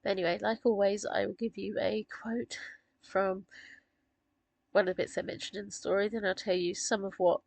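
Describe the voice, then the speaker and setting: A woman talks in a relaxed, rambling way, her voice croaky and gravelly from a sore throat. It has a breathy, husky edge, and she is recorded close and dry with no room sound around her. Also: mid-range voice, normal speed, no echo. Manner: chatty, rambling with fillers and small laughs. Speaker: author discussing her characters. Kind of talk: casual chat.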